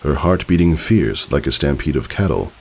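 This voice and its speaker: A man reading a story aloud as narrator, in an expressive, theatrical, dramatic style. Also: a bass voice, moderate pace, vocal fry, slightly rough. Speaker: fan fiction narrator.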